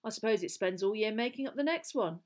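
A woman's voice: mid-range voice, lively intonation, fast, natural voice. A woman voicing a blunt teenage schoolboy in a storybook, grumbling in an offhand, colloquial way. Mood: offhand, scornful.

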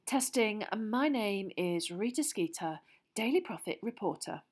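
A woman's voice: mid-range voice, animated, unhurried. A woman voicing a gushing, predatory female gossip journalist with a sugary, coaxing manner.

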